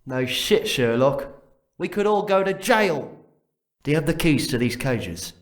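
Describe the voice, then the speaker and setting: An actor playing an irritable, foul-mouthed security goon in an audio drama, gruff, sarcastic and bossy. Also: male voice, fairly high pitch, lively intonation, unhurried. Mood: exasperated.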